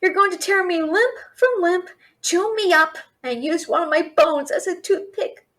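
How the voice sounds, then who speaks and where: A woman playing a brash, fast-talking young female thief and failed alchemist in a fantasy audio roleplay, chattering with sarcastic, exasperated bluster. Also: extremely high pitch, fairly bright tone. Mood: grumbling, wry. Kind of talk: fantasy audio roleplay, addressing an unseen listener.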